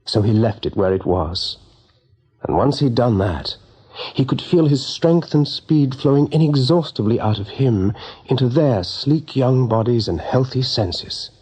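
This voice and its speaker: A man giving expressive, measured narration for a radio drama, with a husky voice. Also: mid-range voice. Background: soft music.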